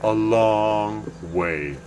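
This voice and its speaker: Man, low voice, loud, rambling game commentary, self-correcting, noisy background hum. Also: extremely slow. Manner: rambling, self-correcting, chatty asides to viewers. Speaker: livestream game player. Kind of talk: casual gameplay commentary.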